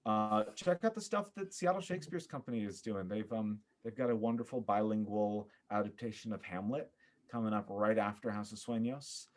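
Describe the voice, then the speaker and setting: A man speaking as a podcast guest in a casual interview, earnest and effusive, often pausing to think. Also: lowish voice. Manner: earnest, effusive, halting with thoughtful pauses. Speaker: podcast guest, theater performer.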